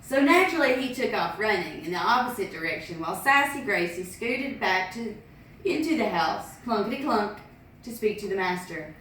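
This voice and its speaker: A woman reading a picture book aloud as a storyteller, lively, with sing-song sound effects. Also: medium pitch, moderate pace, a little hiss or hum. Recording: echoey room, distant mic.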